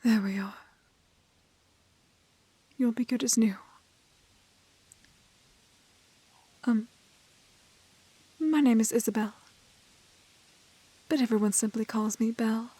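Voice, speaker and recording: A woman playing a prim, plucky, kind-hearted young woman in a fairy-tale roleplay, with soft voice and proper, old-fashioned phrasing. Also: a little high, steady tone, unhurried, pauses often, slightly rough, dry recording. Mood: tender, reassuring. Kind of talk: romantic fantasy roleplay audio acting.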